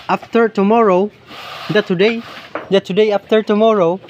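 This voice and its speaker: A man from the Philippines with a Hiligaynon-flavored Filipino accent and Hiligaynon as his first language, narrating over nature footage in English, halting and repeating words as he hunts for the right phrase. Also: high voice, fairly even intonation, dull audio, slight background noise. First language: Ilonggo (Hiligaynon). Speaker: vlogger filming larvae in a field.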